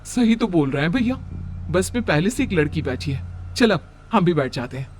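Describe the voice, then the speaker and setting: A man's voice: on the high side, very animated, moderate pace, a little mellow, slight background noise, voice pushed up. A man voicing a bossy, impatient, unafraid young working woman in a horror cartoon, with music underneath. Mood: breezy, impatient. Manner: scolding, impatient.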